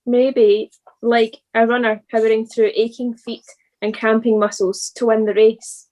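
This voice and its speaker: A woman reading a scripted church devotional in an earnest, deliberate manner. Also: fairly high pitch, level tone, a little mellow, rough recording.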